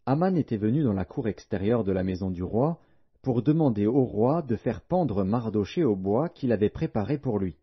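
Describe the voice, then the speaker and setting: A man's voice: lowish voice, animated, moderate pace, husky. A man narrating a Bible audiobook in a solemn, scripted reading style. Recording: dull, lo-fi audio.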